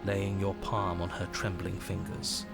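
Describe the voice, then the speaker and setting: A low, gravelly narrator tells a dark fantasy game story in solemn, measured prose. The delivery is ominous and literary, with loud music underneath. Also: male voice, monotone. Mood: tense. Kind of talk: scripted second-person narration.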